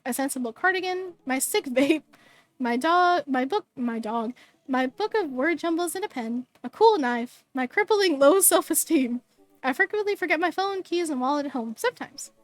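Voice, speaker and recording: A hoarse, bubbly woman streamer reading game text aloud and chatting with viewers, tripping over words now and then. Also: high-pitched, animated, moderate pace, no room sound.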